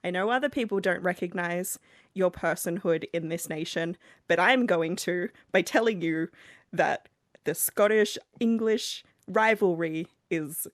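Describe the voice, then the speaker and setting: Young woman podcast co-host, lowish voice, dry close sound, easy laugh. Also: measured pace, dry recording. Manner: reads passages with put-on voices, laughs easily. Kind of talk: casual chat and reading letters aloud.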